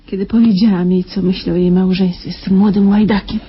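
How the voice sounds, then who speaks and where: A determined middle-aged mother played by an actress in a radio thriller. Her voice is mid-pitched and earnest, on a dull recording with a little noise. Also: level tone, brisk, natural voice. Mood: vindicated, earnest. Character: determined, vindicated mother of grown children. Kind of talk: Polish radio crime drama acting.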